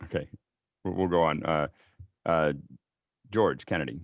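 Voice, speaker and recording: Gravelly, deep-voiced host. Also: male voice, measured pace, no echo, dull audio. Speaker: host, moderator. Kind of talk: casual moderating, short handovers.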